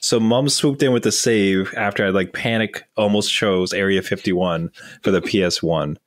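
Canadian guy, lowish voice, telling stories on a podcast, does little voices for people. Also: moderate pace, no room sound, studio quality. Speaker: podcast co-host. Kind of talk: casual chat.

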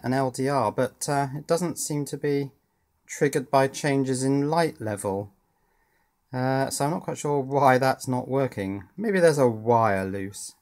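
A man giving casual, thinking-aloud commentary as he takes apart and repairs a toy at his workbench, with a dry, wry manner. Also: medium pitch. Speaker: electronics hobbyist presenter. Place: indoors at a workbench.

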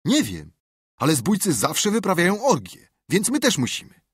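A man voicing an eager, bossy boy adventurer who talks with raised, breathless enthusiasm and a husky tone. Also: high-pitched, wildly swooping pitch, moderate pace, no echo, studio quality, voice pushed up, full character voice.

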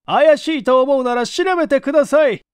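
A man voicing a sneering foreign thief who feigns innocence in halting, broken phrasing, in an audiobook story. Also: extremely high pitch, clean tone, no echo, clean studio sound, voice pushed up. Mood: cool, evasive. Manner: halting, broken non-native Japanese phrasing.

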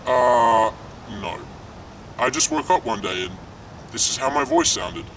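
A man doing a low disguised-sounding voice. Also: fairly quick, distant mic, slight background noise, rough recording. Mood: deadpan. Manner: deadpan, matter-of-fact. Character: man whose natural voice sounds like a disguised anonymous-interview voice.

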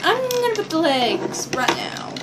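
A young teenage girl with a high voice chats to the camera in a casual, rambling vlog style. She talks slowly as she shows off her toys. The sound is homemade, with clear background noise from a device mic in a bedroom. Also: huge pitch swings. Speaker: vlogger showing toy builds. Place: small bedroom, indoors. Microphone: built-in device mic at arm's length. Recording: noisy amateur webcam audio.

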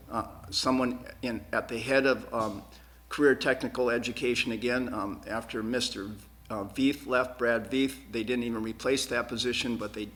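A man speaks as a candidate at a public forum in a medium-pitched voice. He is earnest and insistent, and his delivery is halting, doubling back on words as he argues. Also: fairly bright tone. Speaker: school board candidate. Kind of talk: answering questions at a candidate forum. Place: TV studio.

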